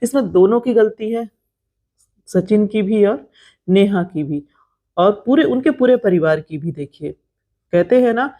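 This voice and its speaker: An Indian-accented woman narrates gossip commentary for a vlog. Her voice is dark and mellow at a medium pitch. She talks straight to viewers in an informal, explanatory way, often repeating phrases to press a point. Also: animated, a little slow, long pauses. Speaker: vlogger commenting on creator drama.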